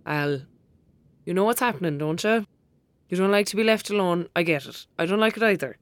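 A woman's voice: lowish voice, brisk, dry recording, lightly acted. Woman acting as cheeky irish girl best friend in a radio play, wry teasing, dry studio sound. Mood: concerned. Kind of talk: audio drama acting.

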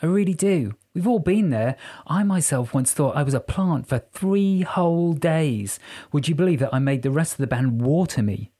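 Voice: medium pitch, animated, normal speed, warm tone, close to an everyday voice. Reader playing a pompous, flamboyant male rock star. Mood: conspiratorial. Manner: affected, camp, wordy and pompous. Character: flamboyant, smug, hedonistic male rock musician, theatrical.

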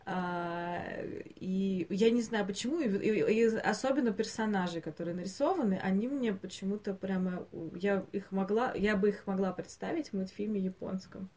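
A woman co-hosting a podcast, talking casually about animated films in a hesitant, thinking-aloud way, given to chuckling. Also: mid-range voice, moderate pace. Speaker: podcast co-host.

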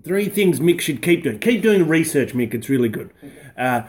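A man with a slightly high voice, giving dry, offhand remarks among friends on a podcast. He sounds plain and relaxed. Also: moderate pace. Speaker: podcast co-host.

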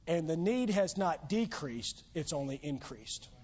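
A man's voice: a little high, sing-song, unhurried, a little mellow, husky. A pastor preaching a sermon in church, losing his voice, with earnest, emphatic exhortations. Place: church sanctuary. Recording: dull lo-fi church recording.

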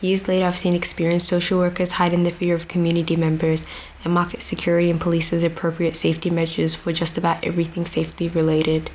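A young woman giving a prepared storytelling speech to an outdoor audience, measured and reflective with dry asides. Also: on the low side, monotone, a little mellow, slight background noise, poor audio. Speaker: speaker addressing an outdoor audience. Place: outdoor venue with grass and a stage.

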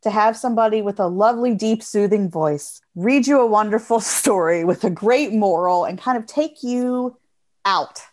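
A woman's voice: mid-range voice, normal speed, darkish tone. An American woman talking informally and earnestly in a casual interview, often repeating phrases for emphasis.

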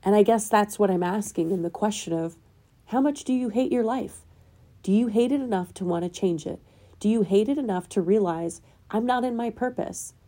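A woman's voice: mid-range voice, moderate pace, mellow. A woman hosting her own podcast, delivering an earnest spiritual self-help monologue full of probing questions, sounding a little nasal as she gets over a cold. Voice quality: slightly nasal. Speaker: podcast host.

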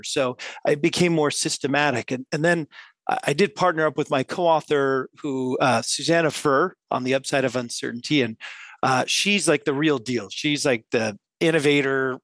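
A man answers interview questions in a fairly high, bright voice. He talks thoughtfully and loosely, with frequent fillers, restarts and easy laughs. The sound is dry and close, with no room around it. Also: dry recording. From West Coast of the US. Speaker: guest author and business professor. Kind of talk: casual interview talk.

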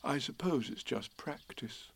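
A man voicing a humble, slow-thinking teddy bear in a storybook reading, quiet and soft. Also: mid-range voice, lively intonation, gravelly, breathy edge, heavily acted.

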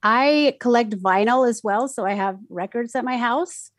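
A woman's voice: on the high side, measured pace, no echo, studio quality. A middle-aged woman from Mexico City, a native Spanish speaker with a Mexican Spanish accent, chatting casually as a radio DJ in an interview, with many fillers and restarts.

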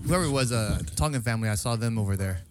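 A man's voice: lowish voice, rapid-fire, music in the background. A young man hosting a casual podcast, laid-back, laughing often and swearing casually. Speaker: podcast host. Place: small studio bar set.

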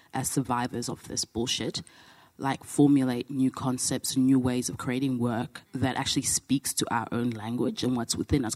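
A man's voice: mid-range voice, darkish tone. A young man from Zimbabwe asks an earnest, searching question from the audience at a post-show talk, often restarting his thoughts. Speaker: audience member asking a question.

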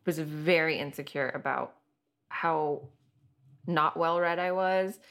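A woman's voice: low voice, slow pace, fairly bright tone. A woman co-hosting a podcast, talking in a supportive, reflective way and agreeing often. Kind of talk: casual podcast chat.

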